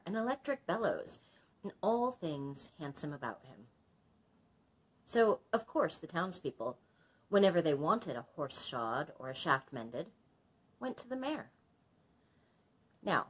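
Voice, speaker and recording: A woman reads a children's book aloud in a low, husky voice. Her intonation swings widely as she narrates. She has the explanatory manner of a librarian teaching young listeners. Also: fairly bright tone, low-quality recording. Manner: instructive, explains old words to young listeners. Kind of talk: expressive storybook narration with explanatory asides.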